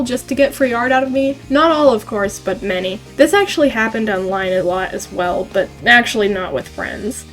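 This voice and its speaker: A young woman with a slightly stuffy voice from a cold talks in a relaxed, friendly way, like a creator chatting to her viewers. Soft music plays quietly behind her. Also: mid-range voice. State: congested from a cold. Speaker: art YouTuber. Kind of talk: casual advice vlog.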